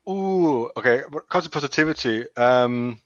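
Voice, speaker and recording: A man's voice: medium pitch, expressive, moderate pace, dry recording. A man in his twenties with a Danish accent, speaking frankly on an online panel with many restarts. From Denmark. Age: 20s. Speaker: youth organization chair, panelist. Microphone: video call. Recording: dull dry video call audio.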